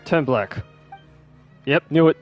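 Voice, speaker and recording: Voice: medium pitch, slow, highs cut off, soft background music. A streamer reading game dialogue aloud and commenting casually, in a loose, informal way.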